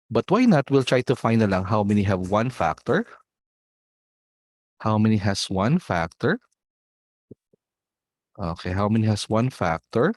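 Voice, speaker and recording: A man with a Filipino accent leads an online olympiad math session, walking through the solution step by step and slipping Tagalog words into his English. His voice sits fairly low, and the sound is dry and close, with no room around it. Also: dry recording. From Philippines. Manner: explains step by step, mixing in Tagalog words, checks in with students.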